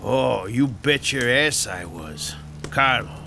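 An actor playing a menacing 1930s Chicago mob boss with a gruff, sardonic drawl and a Chicago accent, in an audio drama. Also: male voice, medium pitch, expressive, slow, sharp tone, slight background noise, full character voice.

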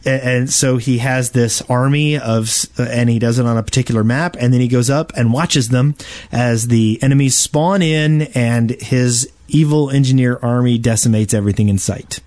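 A man's voice: medium pitch, normal speed, few pauses, darkish tone, no echo. Man chatting casually, dry close sound. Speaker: podcast co-host and wine salesman. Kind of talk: casual gaming podcast chat.

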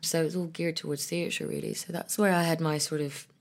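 Low creaky-voiced actress. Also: female voice, fairly even intonation, a little fast. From Ethiopia and Ireland. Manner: thoughtful, hesitant, with frequent restarts. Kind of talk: conversational interview.

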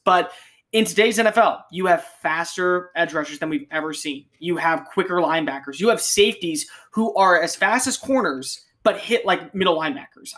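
A man's voice: fairly high pitch, a bit bright. Sports podcast host: male, American accent, conversational delivery.

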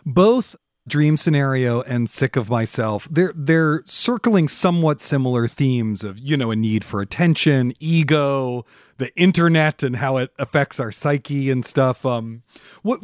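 A man's voice: mid-range voice, animated, unhurried. A male interviewer with a mid-range voice asks engaged, curious questions in an easy conversational tone. The sound is close and dry, with no room echo. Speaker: podcast host.

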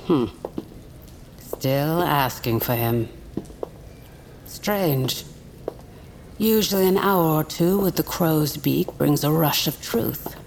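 A woman playing a menacing vulture interrogator in an audio drama, speaking deliberately and theatrically with cold threat. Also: sits low, vocal fry, darkish tone, a little hiss or hum, exaggerated voice. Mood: cold, probing. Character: menacing vulture lord, interrogator and assassin hunter.

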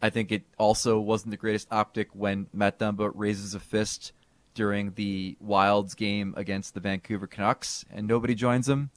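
A man co-hosting a sports podcast, talking in a casual, animated way with bursts of emphasis. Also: lowish voice, normal speed, dry recording. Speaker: podcast co-host.

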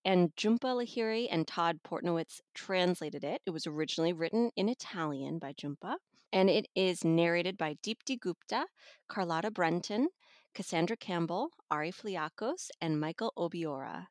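Lower-voiced woman discussing books, close dry sound. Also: expressive, moderate pace, no room sound. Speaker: audiobook reviewer and podcast guest. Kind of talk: casual book discussion.

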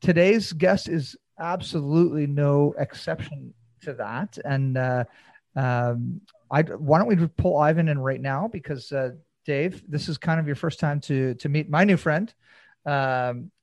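Higher-voiced male podcast host, dry sound. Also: measured pace, a little mellow, no room sound. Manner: rambling, hesitant, many fillers. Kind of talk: casual interview.

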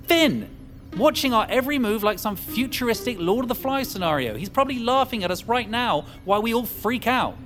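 A man's voice: extremely high pitch, expressive, fairly quick, music in the background. An actor playing a suspicious, defiant man who argues and takes command of a trapped group in an audio fiction drama. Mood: agitated, scornful. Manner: argumentative, commanding, sarcastic.

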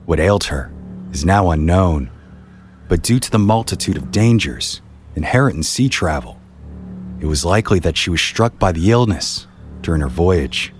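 A man's voice: sits low, lively intonation, moderate pace, faint music behind. A man reading scripted storytelling narration in the manner of a history documentary.

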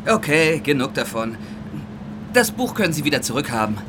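An actor playing a bored, picky train conductor in a children's audio drama, grumbling and dismissive. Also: male voice, a little high, very animated, moderate pace, music in the background.

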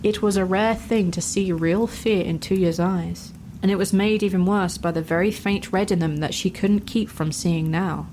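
A woman's voice: lowish voice, steady tone, normal speed, few pauses, darkish tone, slight background noise, poor audio. Woman narrating a fantasy story.

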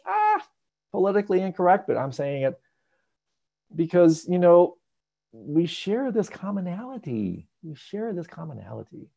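A man hosting an online hangout, teaching in a casual, animated, joking way with tangents, heard over a video call. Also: fairly high pitch, vocal fry. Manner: animated, jokey, digressive. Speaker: host and meditation teacher. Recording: dull lo-fi video call audio.

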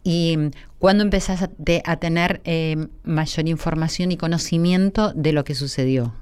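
A woman hosting a radio interview from a studio, speaking in a gentle, reflective way. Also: low voice, unhurried, smooth. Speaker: radio host.

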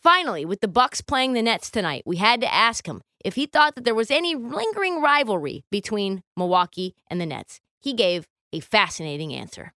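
A woman's voice: mid-range voice, very animated, brisk, hardly any pauses, pushed and bright, no room sound, clean studio sound. A woman hosting a casual sports talk podcast in a conversational, punchy, playful way.

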